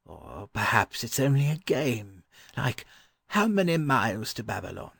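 A man voicing a weary, courteous, scholarly gentleman in a storybook reading, mild and wistful. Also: mid-range voice, sing-song, a little slow, vocal fry, slightly rough, dry recording, studio quality, voice pushed up, exaggerated voice. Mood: dreamy, polite.

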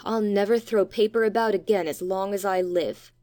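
A man's voice: high voice, fairly even intonation, moderate pace, dark tone, voice pushed up, lightly acted. A man voicing a blunt, impulsive young boy in an audiobook. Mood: emphatic. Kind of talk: audiobook character voice.

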